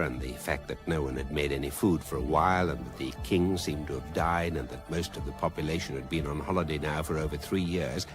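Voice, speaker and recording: Deep, deadpan guidebook narrator. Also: male voice. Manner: measured, deadpan. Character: urbane, dry male narrator of a galactic guidebook. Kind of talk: scripted comic narration.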